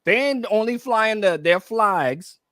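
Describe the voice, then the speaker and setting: A man's voice: high-pitched, animated, measured pace, fairly bright tone, no echo, clean studio sound. A man with a Bahamian accent chatting casually as a co-host on a radio show. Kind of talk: casual chat.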